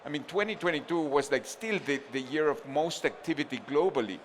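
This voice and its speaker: A man's voice: on the high side, a little fast, a little hiss or hum. A man from Argentina speaking on a conference panel, thinking aloud with frequent restarts and fillers.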